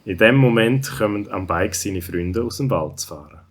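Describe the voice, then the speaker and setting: A man's voice: on the low side, normal speed, husky. A man with a Swiss German accent narrating a scripted children's story.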